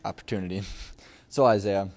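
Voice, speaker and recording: A teenage boy working as a student reporter, asking quick, casual interview questions. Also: fairly low pitch, fairly even intonation, a little hiss or hum.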